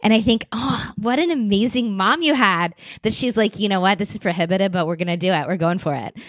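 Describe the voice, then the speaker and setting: A woman in her forties hosting a podcast interview, warmly enthusiastic and encouraging toward her guest. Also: medium pitch, fairly quick, no echo. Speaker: podcast host.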